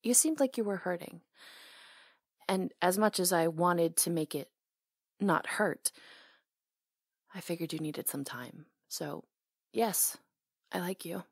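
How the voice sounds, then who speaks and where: Female performer as flirty, affectionate salon owner, ASMR romance roleplay. Soft, husky-breathy, slightly low, unhurried, teasing and intimate. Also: no echo, clean studio sound, heavily acted. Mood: tender, sincere. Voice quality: soft, breathy, sometimes whispery. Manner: teasing, hesitant pauses, intimate. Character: flirty, tender salon owner confessing her crush.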